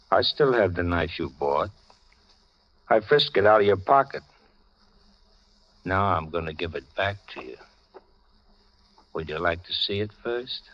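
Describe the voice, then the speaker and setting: A man's voice: deep voice, dry recording. An actor playing a menacing, mocking gangster killer in a 1940s radio crime drama, with slangy tough-guy talk. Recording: dull vintage radio recording.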